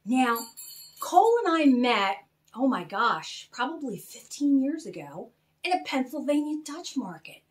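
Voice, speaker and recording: Female children's read-aloud host, animated and expressive, unhurried, swooping intonation. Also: high voice. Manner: animated, expressive, spells out names slowly. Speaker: author reading own children's book aloud. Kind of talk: storytelling read-aloud, chatty asides to young listeners.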